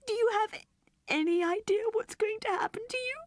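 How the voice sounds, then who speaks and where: A woman voicing a little girl who pleads and sobs over losing her robot doll, her voice pitched high. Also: fairly quick, no echo. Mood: tearful pleading.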